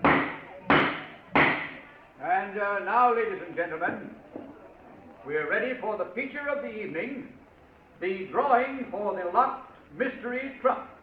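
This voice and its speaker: High-voiced showy auctioneer, tinny recording. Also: male voice, lively intonation, a little slow, pauses often. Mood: suspenseful, showy. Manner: theatrical, coaxing showman's patter. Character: showy, jovial charity auctioneer working a crowd. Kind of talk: 1940s radio acting. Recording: tinny, echoey, noisy old radio recording.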